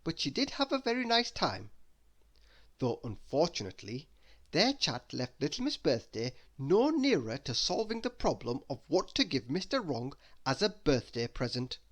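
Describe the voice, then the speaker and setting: A storybook reader narrating a children's tale aloud with expressive emphasis. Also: mid-range voice, moderate pace.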